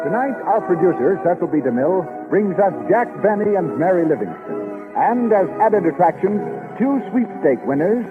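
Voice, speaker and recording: Old timey american radio announcer guy, lively up and down, thin tinny sound. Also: a little high. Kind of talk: 1930s scripted radio announcing. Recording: tinny 1930s radio recording with music.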